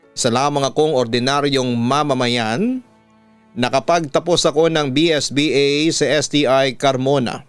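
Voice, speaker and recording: A man with a Filipino accent reads a listener's horror story letter as a radio storyteller, in a measured way with deliberate pauses. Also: medium pitch, smooth. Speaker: radio announcer and host reading listener letters. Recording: background music under the voice. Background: instrumental music.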